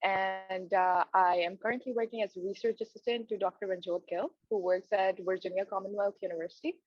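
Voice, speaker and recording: A young woman from Pakistan answers interview questions in a steady mid-range voice, earnest and a little halting. The sound is close and dry but dull, like a lo-fi video call. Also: mid-range voice, no room sound. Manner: earnest, with many hesitant fillers and restarts. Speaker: residency applicant. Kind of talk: mock job interview answers.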